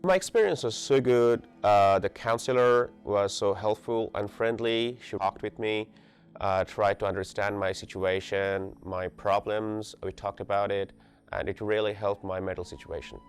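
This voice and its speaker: A man's voice: lowish voice, moderate pace. A man from Bangladesh, a doctoral student, giving an earnest interview account. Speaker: PhD student in biology. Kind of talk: interview testimony. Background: soft music.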